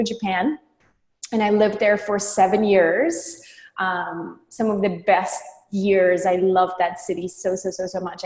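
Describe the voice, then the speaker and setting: A woman in her thirties from Estonia, a podcast guest chatting casually and warmly, often repeating "yes". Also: medium pitch. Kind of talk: casual interview chat. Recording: roomy reverberant room sound.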